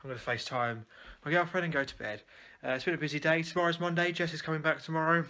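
A young man, chatting casually and informally to camera as a vlogger, rambling in an animated way. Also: on the high side, fast. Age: young adult.

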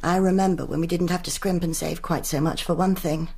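An actress playing a chatty, sympathetic married clinic volunteer in a radio drama, plain-spoken and confiding. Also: female voice, lowish voice, steady tone, brisk, gravelly, mellow, slight background noise. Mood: chatty, rueful. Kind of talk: British radio drama acting.

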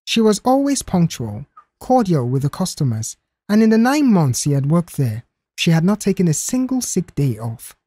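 Animated male audiobook narrator. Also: on the high side, moderate pace, husky, no room sound. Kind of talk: scripted story narration.

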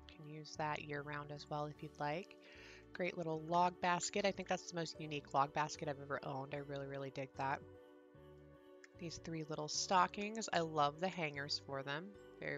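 A woman vlogger, congested and raspy from just waking up, chatting enthusiastically through a home decor showcase with ums and throat clearing. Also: low voice. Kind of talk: casual product showcase narration.

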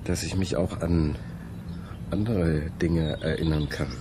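An actor playing a troubled, intense young male student in a radio drama, speaking in a brooding, halting way. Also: extremely low pitch, steady tone, background noise. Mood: haunted, confiding. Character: troubled young male student haunted by his ancestor's memories. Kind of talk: German radio drama dialogue.

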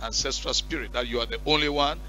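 Man pastor, bright commanding voice. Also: medium pitch, quick pace, faint music behind, low-quality recording. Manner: commanding, shouting at spirits. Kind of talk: deliverance prayer and counseling. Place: church stage with curtain backdrop. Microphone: headset mic.

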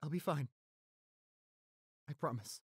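Man acting devoted young boyfriend, slow, halting delivery. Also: on the high side, slightly rough, no echo, studio quality, heavily acted. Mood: tender, pleading. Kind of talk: boyfriend roleplay audio acting.

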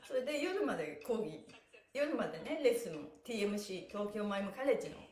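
Female exercise host, middle-aged, very lively intonation, upbeat and encouraging, recorded in a roomy, echoey space. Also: mid-range voice, fast talker. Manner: animated, cheerful, encouraging, often laughing. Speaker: stretching instructor and pantomime teacher, live-stream host. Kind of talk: upbeat live exercise lesson, chanting cues and chatting to viewers. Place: small bare room with wooden floor, indoors. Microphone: device mic a few steps away. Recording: echoey room, mic at a distance.

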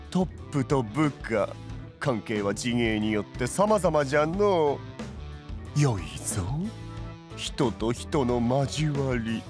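A man's voice: medium pitch, sing-song, very slow, faint music behind. An actor playing a proud, flamboyant tea-master lord in a voice drama, boasting theatrically in archaic old-man phrasing. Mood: amused, boastful. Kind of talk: Japanese voice drama acting.

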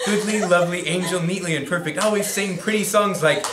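A young man reading a picture book aloud to friends with a playful, animated delivery. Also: sits high, fairly quick, crowd noise. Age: young adult. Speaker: storyteller. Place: small apartment living room with kitchen. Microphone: camera a few metres away. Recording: echoey room, clear noise, distant camera mic.

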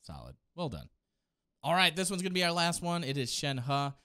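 A man's voice: on the high side, wildly swooping pitch, moderate pace, bright, forceful tone. A man in his thirties giving casual, enthusiastic reaction commentary into a close studio mic. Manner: enthusiastic, exclamatory. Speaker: streamer reacting to game trailers. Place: small home studio room. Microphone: close boom studio mic. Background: game trailer music.

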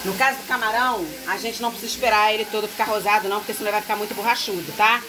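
A woman's voice: medium pitch, steady tone, rapid-fire, noisy background. A woman in her forties from Brazil, chatting straight to viewers while she cooks in her home kitchen, filmed on a phone held close. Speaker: home cooking vlogger. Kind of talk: casual cooking vlog. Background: food sizzling in a pan, music.

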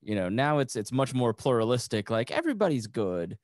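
A man in his thirties hosts a casual podcast interview. He talks conversationally in a mid-pitched voice. The sound is close and very dry, with no room echo. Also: big pitch swings, a little fast. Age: 30s. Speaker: podcast host.

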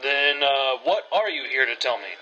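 An actor playing a fussy, weary city gentleman in a radio drama, polite and formal in manner. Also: medium pitch, lively intonation, normal speed, lo-fi sound, faint music behind, lightly acted. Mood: polite puzzlement. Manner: polite, formal, put-upon. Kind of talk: old-time radio drama acting.

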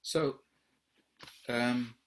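A man in his fifties leading an online question session from a room at home, speaking slowly and reflectively over a video call. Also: mid-range voice, steady tone. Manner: slow, reflective, husky. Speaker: workshop leader. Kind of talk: online Q&A talk. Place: home room with wooden doors.

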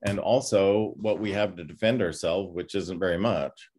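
An older man in his sixties, a pastor giving an informal class talk over a video call, reflective and halting with many pauses. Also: low voice, darkish tone, breathy edge. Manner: halting, reflective, often pausing. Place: home study with bookshelves, on a video call. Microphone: computer microphone on a video call.

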